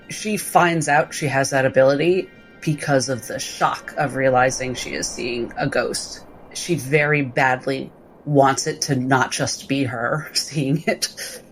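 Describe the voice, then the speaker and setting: A man narrates his character's actions in a tabletop game. His delivery is slow and thoughtful, with halting pauses as he improvises, over soft background music. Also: medium pitch, poor audio. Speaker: tabletop role-playing player.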